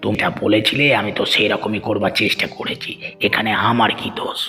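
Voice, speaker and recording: A man's voice: fairly low pitch, little pitch movement, fairly quick, bright, forceful tone, soft background music. A man voicing a rich villager's scheming henchman and henpecked husband in a cartoon, blustering and defensive. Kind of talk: Bengali moral-story cartoon dubbing.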